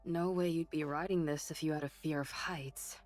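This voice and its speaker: An actress playing a capable, protective young soldier and technician with an anxious streak in an anime game. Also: female voice, sits low, fairly even intonation, moderate pace, a little mellow, natural voice. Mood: focused, confident. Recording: game music behind.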